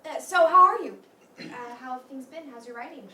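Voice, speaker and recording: An actress playing an earnest, peacemaking childhood friend, now grown, in a staged reading of a play. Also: female voice, sits high, a little fast, pushed and bright. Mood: awkward, friendly. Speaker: stage actor. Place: meeting room used for a staged reading. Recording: roomy, distant mic, faint noise.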